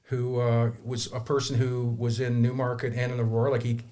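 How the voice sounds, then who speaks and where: A man's voice: medium pitch, little pitch movement, fairly quick, a little mellow. Upbeat rambling man in his fifties. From Toronto, Canada. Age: 50s. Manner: rambling, upbeat, many restarts. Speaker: guest, community photographer. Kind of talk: casual studio chat. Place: studio.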